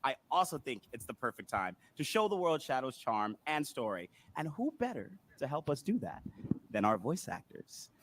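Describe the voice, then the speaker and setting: A man's voice: medium pitch, very animated, normal speed, a bit bright. A man hosting a panel interview in an enthusiastic, conversational presenting style.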